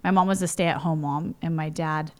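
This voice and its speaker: A woman's voice: low-pitched, fairly even intonation, moderate pace, a little mellow. A woman in her forties from California, telling personal stories in a chatty interview full of "you know" and "like". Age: 40s. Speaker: interview guest. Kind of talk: casual storytelling. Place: home.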